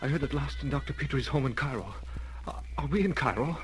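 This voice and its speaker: A dazed young adventure hero played by a man in a 1930s radio melodrama. His delivery is halting and agitated, with a middle-pitched voice that swings widely. Faint noise sits over the old recording. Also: lively intonation, a bit bright, lightly acted. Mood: dazed, alarmed. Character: dazed young hero resisting a hypnotic spell. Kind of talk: 1930s radio drama acting.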